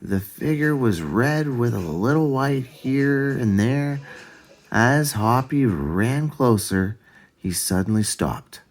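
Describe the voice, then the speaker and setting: A man narrates a children's storybook at a slow, deliberate pace. His pitch moves widely, giving the reading an expressive, animated shape. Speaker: storybook reader. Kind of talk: children's story read aloud.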